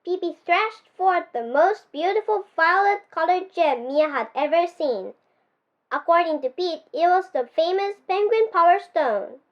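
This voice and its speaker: A ten-year-old girl from Japan reading her own picture book aloud in an expressive storybook style. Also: extremely high pitch, measured pace, highs cut off. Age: child, 10 years old.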